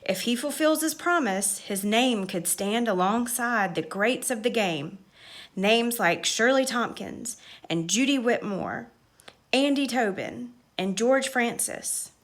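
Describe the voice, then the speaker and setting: A woman's voice: on the high side, lively intonation, slow pace, a bit bright. A woman voicing an earnest young boy who talks like a solemn, pompous sports commentator in a storybook.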